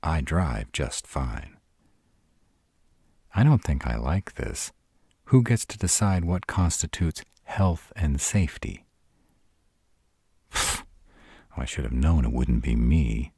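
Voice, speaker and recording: Very deep, husky sardonic vampire played by a man. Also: sing-song, dark tone, no echo, clean studio sound, full character voice. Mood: grumbling, sardonic. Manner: sardonic, exasperated, halting when vulnerable. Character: sarcastic brooding male vampire, proud, guarded, secretly smitten. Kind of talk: intimate roleplay audio drama.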